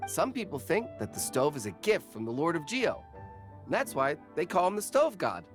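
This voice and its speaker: A flustered, deferential warehouse worker, with a slightly low voice that moves around in pitch. The anime game delivery is polite and hesitant, over faint music. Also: female voice, sing-song, moderate pace. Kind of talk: anime video game voice acting.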